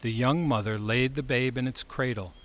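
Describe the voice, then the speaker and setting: A man's voice: mid-range voice, moderate pace. A man reading scripted story narration as the narrator.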